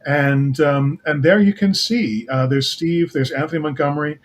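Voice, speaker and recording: A man's voice: a little high, normal speed. A man chatting casually as a guest writer and producer, talkative and given to explaining things. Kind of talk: casual chat.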